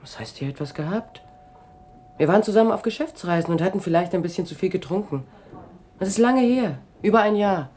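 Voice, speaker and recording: Actress as fed-up sarcastic woman in a radio play, mid pitch, dark soft tone, defensive. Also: animated, fairly quick, lightly acted. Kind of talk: radio crime drama acting.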